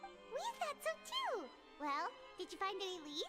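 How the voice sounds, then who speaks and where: A woman's voice: normal speed, full character voice. A woman voicing a small, bubbly girlish companion in a video game, eager and chatty. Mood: chirpy. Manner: bubbly, eager, high and animated. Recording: game audio with loud music behind.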